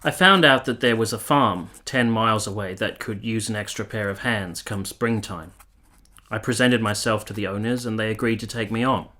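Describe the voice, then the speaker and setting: An actor playing an earnest, tormented soldier of 1870s Italy in a radio drama, speaking in a formal, measured, literary manner. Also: male voice, fairly low pitch, a bit bright, natural voice. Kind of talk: dramatic radio play narration and dialogue.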